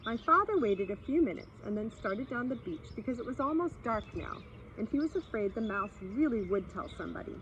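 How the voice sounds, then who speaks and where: A woman reading a story aloud expressively for children, pausing to explain and speak to her young listeners. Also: on the high side, animated, a bit bright. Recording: slightly dull sound with faint background noise.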